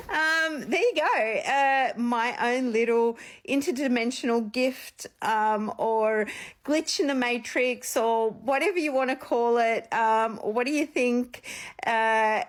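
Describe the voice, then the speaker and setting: A woman's voice: a little high, slow. A woman, a psychic medium, chatting casually and rambling through a personal story on her vlog, with a slight sniffle after being ill. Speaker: psychic medium and channel host.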